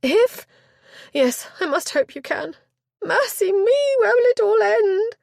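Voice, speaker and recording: Shrill, panicked chaperone. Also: female voice, very animated, slow, vocal fry, dry recording, studio quality, heavily acted. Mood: frantic. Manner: high, quivering, near-shouting alarm. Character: frantic middle-aged female chaperone, shrill.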